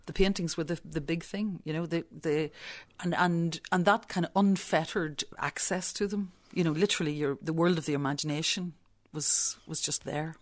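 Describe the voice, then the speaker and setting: Low, dry-sounding Belfast woman reminiscing. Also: fairly even intonation, normal speed, hardly any pauses, no room sound. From Belfast, Northern Ireland. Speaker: interview guest, visual artist. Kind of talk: conversational reminiscence.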